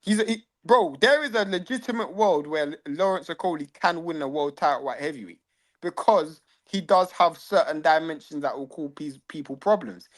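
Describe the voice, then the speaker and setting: A man's voice: on the high side, normal speed. A young man, co-hosting a boxing chat, arguing his points in a reasoned, teasing way. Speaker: boxing podcast co-host. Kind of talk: casual chat.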